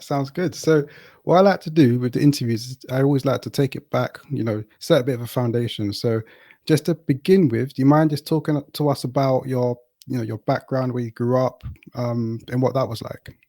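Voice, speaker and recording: A man's voice: medium pitch, brisk, warm tone. A man hosting an interview, asking questions in an easygoing way. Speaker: interview host. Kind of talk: casual interview.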